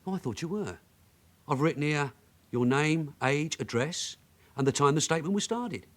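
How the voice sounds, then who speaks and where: Guy doing shrewd older detective in a radio play, dry n sardonic, very animated pitch. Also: normal speed, close to an everyday voice. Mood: sardonic, directing. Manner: dryly sardonic, probing questions. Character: shrewd veteran male detective sergeant, dry and wily. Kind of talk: radio drama acting.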